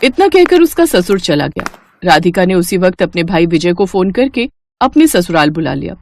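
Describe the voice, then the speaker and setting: A woman narrating a cartoon story in a steady, matter-of-fact way. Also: fairly low pitch, moderate pace, slight background noise.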